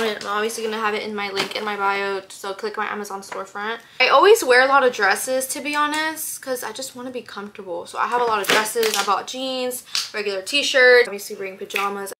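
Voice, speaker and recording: A woman in her twenties chatting casually to camera in an animated, slangy way, swearing freely. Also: a little high. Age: 20s. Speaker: vlogger. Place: car, shops, parking lot, cluttered bedroom. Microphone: handheld or propped vlog camera. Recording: noisy handheld camera audio.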